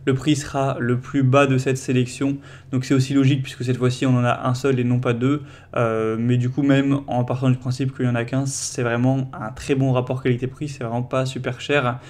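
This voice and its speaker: Even-toned man presenting a product comparison. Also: mid-range voice, a little fast. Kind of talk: casual product comparison voice-over.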